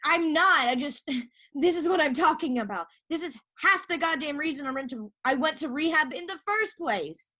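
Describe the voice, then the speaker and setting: An actress playing an earnest, defensive young woman, a halting and pleading younger sister in a stage play. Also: high-pitched, animated, sharp tone. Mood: defensive, frustrated. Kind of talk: naturalistic one-act stage play.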